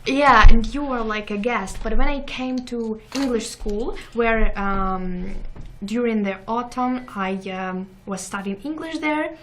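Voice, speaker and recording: A young woman from Ukraine with a Ukrainian accent, a guest in an interview, speaking halting non-native English and searching for words. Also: on the high side, bright, forceful tone, slight background noise. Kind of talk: casual interview chat.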